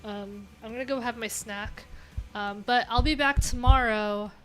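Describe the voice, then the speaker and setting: A woman's voice: a little high, fairly even intonation, measured pace. A woman chatting casually on her own livestream, rambling with many fillers and restarts. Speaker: live coding streamer.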